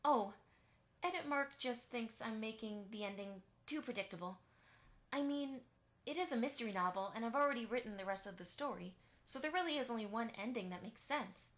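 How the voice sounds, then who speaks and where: Chatty, eager-to-please cartoon pony mother for radio play, female performer; fairly high voice, effusive. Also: lively intonation, fairly quick, fairly bright tone. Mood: strained, sarcastic. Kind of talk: fan radio play acting.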